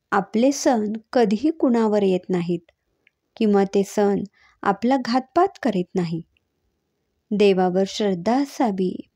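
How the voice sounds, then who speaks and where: A woman's voice: a little high, warm tone, husky, exaggerated voice. A woman voicing an outspoken, modern young aunt in a story, direct and mixing in English words. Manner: firm, direct, mixes English words into her talk.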